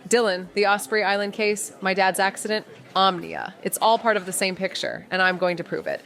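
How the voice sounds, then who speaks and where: A woman's voice: medium pitch, steady tone, brisk, a bit bright, natural voice. A young woman playing a brash, defiant amateur detective in an audio drama, blunt and sarcastic. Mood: driven, defiant.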